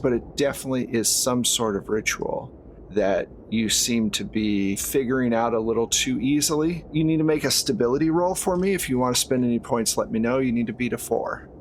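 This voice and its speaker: A man's voice: mid-range voice, normal speed. A man narrating a horror tabletop roleplaying game as game master, in a conversational way with casual asides. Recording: clear background noise.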